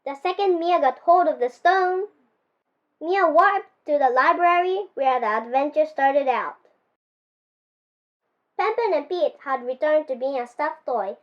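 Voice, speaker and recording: A ten-year-old girl from Japan reading her own picture book aloud in an expressive storybook style. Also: high-pitched, a little slow, pauses often, highs cut off. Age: child, 10 years old.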